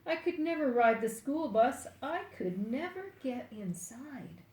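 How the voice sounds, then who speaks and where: An older woman reading a children's book aloud expressively and deliberately, playfully speaking straight to young listeners. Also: high voice, expressive, roomy sound, low-quality recording. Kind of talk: expressive picture-book read-aloud with asides.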